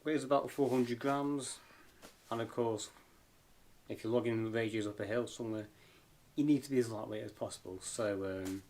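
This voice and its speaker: A man giving a casual, unscripted hands-on gear review as an amateur radio vlogger, pausing and feeling his way along. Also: medium pitch, steady tone, a little mellow. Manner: hesitant, informal, unscripted. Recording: clear background noise and clicks.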